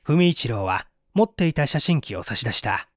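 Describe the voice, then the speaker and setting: A man reading a mystery story as a narrator, in a measured, dramatic storytelling style. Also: mid-range voice, big pitch swings, dry recording, clean studio sound. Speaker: audiobook narrator.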